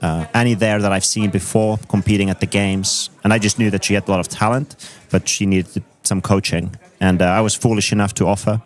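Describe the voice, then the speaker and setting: A man in his thirties from Finland with a Finnish accent, a coach speaking as a guest in an interview and explaining his ideas in a measured, thoughtful way. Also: fairly low pitch, steady tone. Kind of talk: conversational interview.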